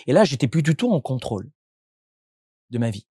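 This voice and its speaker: A man's voice: mid-range voice, sing-song, fairly quick, mellow, slightly rough, no echo, studio quality. A man from France, a coach and entrepreneur, answering interview questions in a reflective, explanatory way with frequent restarts.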